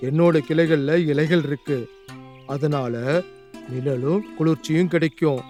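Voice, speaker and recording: A man playing a wise, admonishing mango tree in a cartoon fable, speaking in a measured, moralizing way. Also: a little high, fairly even intonation, dark tone, faint music behind, natural voice.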